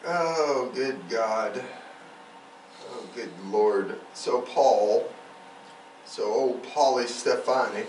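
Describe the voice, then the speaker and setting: A man's voice: medium pitch, very slow, pauses often, a little mellow. A man co-hosting a casual true-crime podcast, rambling along and repeating himself for emphasis. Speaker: podcast co-host. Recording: roomy, distant mic, faint noise.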